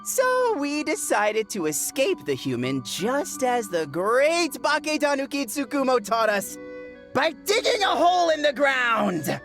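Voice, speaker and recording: Young tanuki spirit for a game: naive and excitable, wildly animated, high voice. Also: female voice, unhurried, gravelly, soft background music, heavily acted. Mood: proud storytelling. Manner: gasping, exclaiming, childlike. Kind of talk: video game voice acting.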